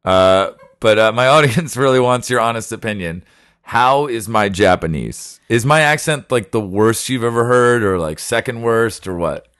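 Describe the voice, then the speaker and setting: A man hosting a casual podcast interview from his apartment, self-deprecating and quick with jokes. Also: medium pitch, expressive, fairly bright tone, clear, resonant tone. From United States. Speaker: podcast host.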